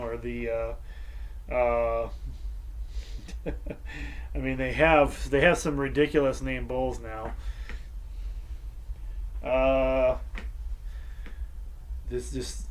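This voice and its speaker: A man's voice: medium pitch, steady tone, slow, pauses often, a little hiss or hum. A middle-aged man hosting a casual sports preview show, rambling and hesitant, with a lot of fillers. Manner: rambling, hesitant, breaks into goofy sung tunes.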